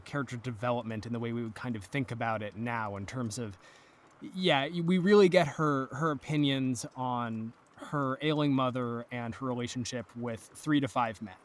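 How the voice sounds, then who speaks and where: Earnest man with a mid-pitched voice. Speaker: audio drama creator, interview guest. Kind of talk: thoughtful interview talk.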